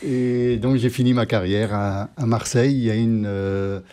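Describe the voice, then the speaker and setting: An older man from Normandy talking casually as an interview guest, pausing often to search for his words. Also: lowish voice, fairly even intonation, dark tone. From Normandy, France. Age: older, 60s or 70s. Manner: hesitant, halting, searching for words.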